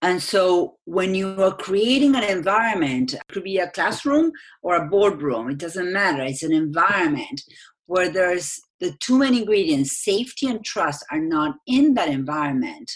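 Interview guest: Argentine-accented woman, deep for a woman, earnest and reflective. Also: lively intonation, moderate pace. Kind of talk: conversational interview answers.

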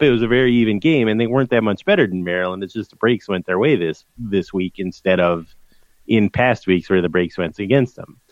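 A man's voice: on the low side, dry recording, dull audio. A man co-hosting a casual sports podcast, explaining college football numbers in a conversational way with frequent restarts. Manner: conversational, stat-heavy, restarts mid-sentence.

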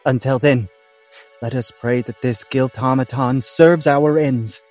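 A man's voice: medium pitch, steady tone, a little slow, a little mellow, lightly acted. Man playing a grandiloquent mock-Shakespearean hero, music behind. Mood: solemn. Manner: declamatory, mock-solemn. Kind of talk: comic amateur audio drama.

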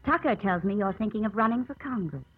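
A woman's voice: mid-range voice, expressive, fast, close to an everyday voice. An actress playing a poised, gracious and flirtatious newlywed lady in a 1950s radio drama, with genteel, polished delivery. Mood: softly flirtatious. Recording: tinny 1950s radio recording.

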